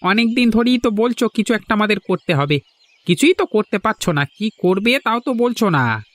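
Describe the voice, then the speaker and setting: A man's voice: high voice, big pitch swings, moderate pace, dry recording. A man voicing a henpecked village fisherman, a nagging but agreeable sidekick in a cartoon folk tale. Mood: grumbling, plaintive. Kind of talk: animated folk-tale dialogue.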